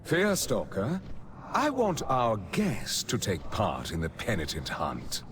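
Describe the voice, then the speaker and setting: An actor playing an imperious, gloating vampire lord with pompous, theatrical menace in a video game cinematic. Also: male voice, lowish voice, huge pitch swings, slow pace, background noise, full character voice. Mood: cold menace. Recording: loud music behind the voice.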